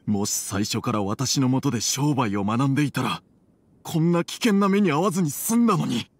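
A man's voice: mid-range voice, expressive, moderate pace, slightly rough. A man voicing a stern, reserved middle-aged merchant father in a video game, curt and formal with worry underneath. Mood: worried.

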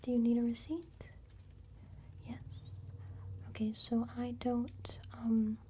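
A woman doing an ASMR roleplay as a cashier, talking softly and mostly in whispers, with friendly counter small talk, recorded at a table indoors. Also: fairly high pitch, breathy edge. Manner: soft-spoken, mostly whispering. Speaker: ASMR creator playing a cashier. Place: indoor room at a wooden table.

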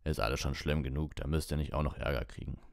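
A man voicing a big, gruff, sorrowful groundskeeper with plain, halting, heavy-hearted speech in an audiobook. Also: rumbling low, steady tone, no echo, clean studio sound. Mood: urgent, grim.

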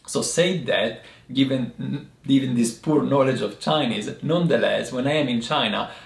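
Man, thirties, strong foreign accent, halting speech, roomy echo. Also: mid-range voice. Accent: foreign accent in English. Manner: halting, many restarts, gestures with hands. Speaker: tea teacher. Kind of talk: casual video lesson. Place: small room indoors by a lamp.

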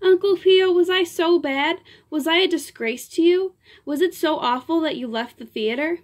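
A woman doing a fiery young actress, higher. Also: natural voice. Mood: pleading. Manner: tearful, pleading, quick to outbursts. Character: temperamental young female stage actress.

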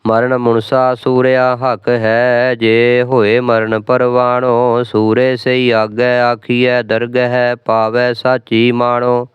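A man reciting scripture in steady, measured phrases. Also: medium pitch, clean tone, dry recording. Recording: dry, tinny phone-like sound.

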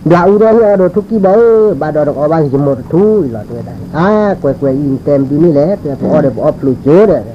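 A man's voice: on the high side, expressive, very slow, few pauses. A man from northern Thailand, a native Karen speaker, telling a folktale aloud in an informal oral storytelling style. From Li district, Lamphun, northern Thailand. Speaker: folk storyteller. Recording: dull lo-fi recording with insect-like background noise.

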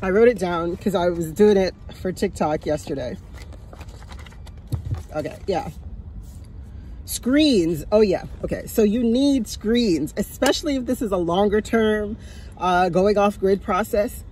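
A woman's voice: mid-range voice, a little slow, mellow, a little hiss or hum, rough recording. A woman in her fifties giving casual advice, talking into a phone held at arm's length in a parked car. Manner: chatty, chuckling, repeats for emphasis. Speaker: vlogger. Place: parked car, sunny day.

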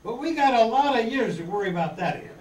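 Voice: mid-range voice, animated, fast talker, natural voice. An amateur performer playing a bossy, practical young castaway leader in an old-time children's radio serial. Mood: practical, brisk. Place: community meeting room before an audience. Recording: echoey room, distant camera mic, hiss.

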